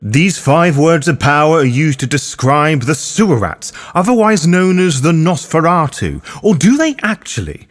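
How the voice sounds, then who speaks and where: A man hosting a scripted video guide, speaking in a theatrical, deliberate way. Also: on the high side, very animated. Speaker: host of a roleplay guide.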